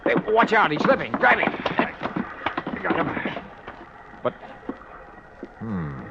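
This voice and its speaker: An American actor playing a wry, world-weary insurance investigator in an old radio detective drama, with punchy hardboiled delivery. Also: male voice, on the high side, huge pitch swings, takes it slowly, gravelly, heavy background noise, full character voice. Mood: tense. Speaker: lead actor and narrator. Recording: dull vintage radio recording with music.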